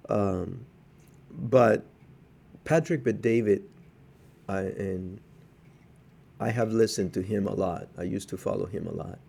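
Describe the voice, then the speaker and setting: A man from Cuba co-hosting a podcast, talking in a measured, cautionary way with frequent hesitations. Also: lowish voice, creaky, dark tone, husky. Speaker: podcast co-host. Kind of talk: casual podcast chat.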